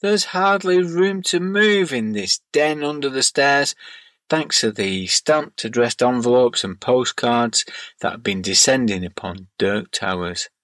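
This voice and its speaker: A man with a Bolton accent from northern England hosts a podcast, reading a scripted monologue in a gentle manner. His pitch is middling and the recording is dry. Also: expressive, measured pace, dry recording. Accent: Bolton, northern English. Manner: gentle, wordy. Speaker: podcast host.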